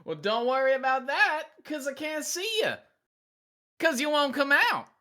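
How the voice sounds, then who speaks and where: A woman's voice: high voice, sing-song, unhurried, sharp tone, exaggerated voice. A woman playing a friendly, persistent hiker in a table read, talking in a casual, folksy way. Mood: concerned, persistent.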